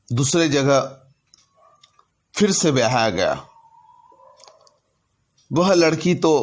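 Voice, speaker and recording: A man narrating a personal story in a deliberate way, in short halting phrases. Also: mid-range voice, a bit bright. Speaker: narrator telling his own anecdotes.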